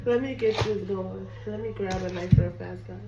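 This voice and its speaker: A woman's voice: medium pitch, fairly even intonation, normal speed, highs cut off, heavy background noise. A young woman who is sick and losing her voice chats casually to viewers while cooking at home. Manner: chatty, apologetic, addresses viewers as "guys". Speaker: cooking vlogger. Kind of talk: casual chat to camera. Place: home kitchen. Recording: roomy echo, mic at a distance.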